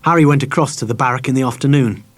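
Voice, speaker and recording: A man's voice: mid-range voice, very fast. A man narrating a children's novel as a scripted audiobook.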